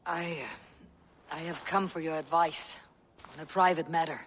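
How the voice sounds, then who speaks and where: An actress voicing a steady, stoic female Viking warrior in a video game, measured and dry in manner. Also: low-pitched, a little hiss or hum. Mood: troubled, hesitant. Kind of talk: video game character acting.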